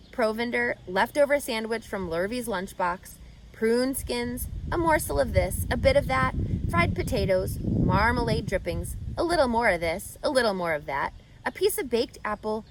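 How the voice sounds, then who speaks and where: A woman narrating a children's book expressively as a read-aloud host, speaking directly to young listeners. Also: fairly high pitch.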